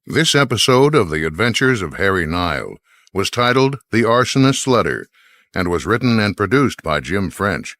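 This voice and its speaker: Low-voiced male radio announcer. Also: very animated, moderate pace, fairly bright tone. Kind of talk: scripted radio credits announcement.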